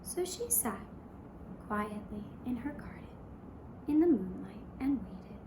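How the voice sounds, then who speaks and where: A woman narrating a fairy tale for a puppet show in a gentle, hushed, deliberate storytelling manner. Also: medium pitch, lively intonation, roomy sound, background noise. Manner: slow, hushed, deliberate storytelling in short phrases.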